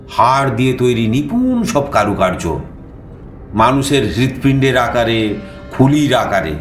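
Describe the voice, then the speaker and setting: An actor playing a lively male storyteller who recounts his adventure in animated, conversational first-person narration for an audio drama. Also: mid-range voice. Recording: roomy echo, faint music behind.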